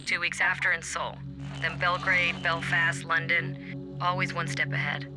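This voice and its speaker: An actress playing a cynical, hard-bitten special operations soldier, telling her account in terse, measured phrases in a game cutscene. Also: lowish voice, level tone, music in the background, lightly acted, rough recording. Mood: grim, measured. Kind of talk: dramatic game cutscene acting.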